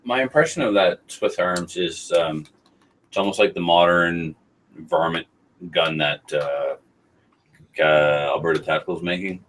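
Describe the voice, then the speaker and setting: A man's voice: deep voice, a little slow, a bit bright. A man from Canada co-hosting a casual podcast, bantering and joking in a small room. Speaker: podcast co-host. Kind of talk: casual chat. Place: small bedroom, indoors.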